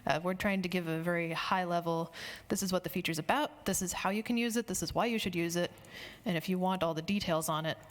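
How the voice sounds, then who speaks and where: A woman's voice: lowish voice, steady tone, fast talker. A woman speaker answering audience questions after a conference talk, conversational and friendly, with some fillers. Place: conference hall.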